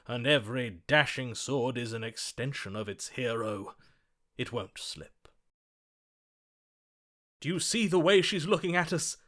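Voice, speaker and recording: A gallant storybook romantic for a video game, hopelessly smitten and grandly theatrical. His pitch sits in the middle for a man, and he lingers unhurriedly over florid, swooning phrases, tender and melodramatic. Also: sharp tone. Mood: gallant, confident, then urgent, exasperated. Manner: florid, swooning, dramatic delivery.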